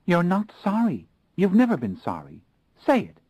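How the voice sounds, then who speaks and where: A man's voice: sits high, huge pitch swings, moderate pace, darkish tone, husky, dry recording, pitched above the performer's own voice, exaggerated voice. A man voicing a childlike vampire girl who coldly accuses and taunts. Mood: accusing.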